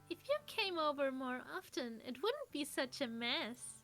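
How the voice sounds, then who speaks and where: A woman voicing a gentle teenage schoolgirl in a visual novel, cheerful on the surface and quietly sad, with music behind her. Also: high voice, full character voice. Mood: forced cheer.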